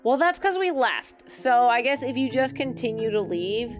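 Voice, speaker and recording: A woman's voice: on the high side, big pitch swings, normal speed. Chatty woman streamer, faint music behind. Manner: chatty, thinking aloud while playing. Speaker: game streamer. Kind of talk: casual live playthrough commentary. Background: soft game music.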